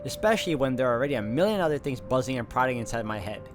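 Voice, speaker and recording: A man's voice: medium pitch, expressive, racing, faint music behind. A man reading a visual novel aloud as he plays it. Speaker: video game player reading aloud.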